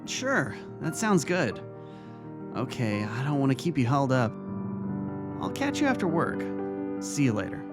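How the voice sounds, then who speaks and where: A man voicing a kind, reassuring vice-principal in an audio drama, speaking gently and earnestly. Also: mid-range voice, sing-song, measured pace, pauses often. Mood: casual, friendly. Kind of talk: audio drama fan fiction reading. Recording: music under the voice.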